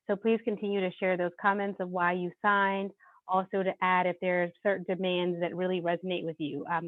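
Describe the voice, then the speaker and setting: A woman moderating an online event, introducing speakers with brisk phrasing and quick self-corrections. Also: on the low side, dry recording. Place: video call. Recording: dry, tinny phone-like audio.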